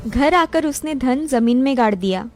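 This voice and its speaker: A woman narrates a cartoon moral tale from a script. Her voice is high-pitched. Faint music plays behind the narration. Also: expressive, moderate pace.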